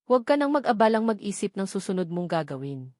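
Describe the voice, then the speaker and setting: A woman voicing a cold, emotionless female right-hand aide with an icy, cutting, deliberate manner in a narrated love story. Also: mid-range voice, sing-song, a little mellow, no echo, clean studio sound, natural voice. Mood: cold, menacing.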